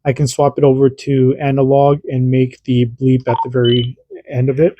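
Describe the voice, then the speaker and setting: A man's voice: medium pitch, completely flat delivery, normal speed, warm tone, dull audio, slight background noise. A man and parent, hosting a casual hands-on radio demo and explaining in an informal, rambling way that often restarts sentences. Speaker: radio hobbyist host.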